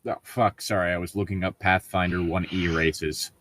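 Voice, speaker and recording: A man with a fairly low voice and a very dry, close sound. He chats and reads stories in a casual, halting way, often reworking his phrases as he goes. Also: flat delivery, a bit bright, dry recording. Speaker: co-host reading online stories. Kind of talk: casual chat and story reading.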